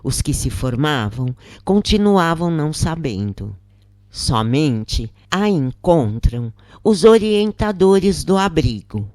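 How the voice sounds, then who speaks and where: A narrator reading a scripted first-person account in measured phrases with pauses. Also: mid-range voice, expressive, darkish tone, no room sound, studio quality.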